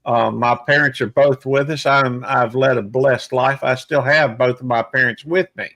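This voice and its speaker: Male, 60s, livestream host, relaxed halting chat, dry mic. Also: mid-range voice, dry recording. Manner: plainspoken, halting with fillers. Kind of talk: casual chat.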